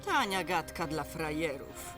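A woman's voice: low voice, sing-song, unhurried, over music. An actress playing a pushy, skeptical woman reporter in a dubbed cartoon, with a sardonic manner. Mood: skeptical, challenging. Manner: sardonic, challenging.

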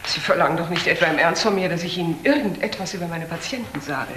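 A woman's voice: on the low side, fast, lightly acted. Casting: actress as blunt female doctor, self-assured and cool, crime radio play, roomy sound with faint noise. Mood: cool, challenging. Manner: cool, matter-of-fact, challenging. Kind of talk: German crime radio drama acting.

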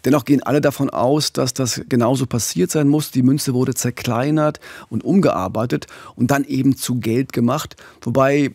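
A man's voice: medium pitch, steady tone, normal speed, hardly any pauses, darkish tone, no echo. A middle-aged man co-hosting a true-crime podcast in a conversational narrating style, on a studio microphone. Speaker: podcast co-host. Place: small radio studio. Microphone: studio microphone with pop filter, headphones.

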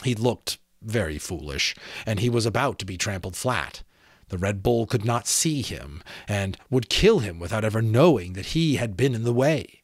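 Low-voiced man reading dramatically, dry sound. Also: very animated, normal speed, no echo. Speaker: amateur audiobook narrator. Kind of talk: expressive storybook reading of a fantasy novel. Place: small home room with sloped ceiling, indoors. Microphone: close desk microphone.

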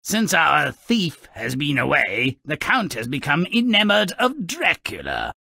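Gravelly, sneering little gnome narrator. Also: male voice, a little high, huge pitch swings, measured pace, pushed and bright, no echo, studio quality, heavily acted. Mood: gleeful, mocking. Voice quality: raspy, gravelly. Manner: sardonic, pompous, alliterative, relishing each word. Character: gruff, mischievous, sneering gnomish storybook narrator. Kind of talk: theatrical video game narration.

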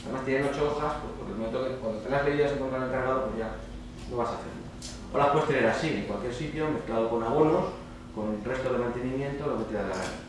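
A man's voice: medium pitch, fast talker. A man with an accent from Spain giving an informal conference presentation, colloquial and emphatic, often checking in with short tag questions. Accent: Spanish (Spain). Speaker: speaker at a student congress. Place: indoor lecture hall, speaker standing on stage. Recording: roomy, distant mic with faint noise.

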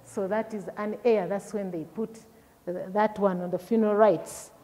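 A woman's voice: mid-range voice, fairly even intonation, moderate pace, warm tone. Woman, Ugandan accent, middle-aged, teacher, asks questions, repeats words, reverberant classroom. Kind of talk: recorded classroom lesson for pupils. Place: classroom with projector screen.

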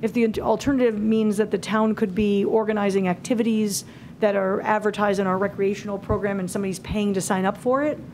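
A woman's voice: medium pitch, fairly even intonation, fairly quick, a little mellow, slight background noise. Woman leading a town meeting. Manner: thinking aloud with many fillers and restarts. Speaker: committee leader presenting a scoring rubric. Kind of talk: public meeting presentation. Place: town hall auditorium. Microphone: table microphone.

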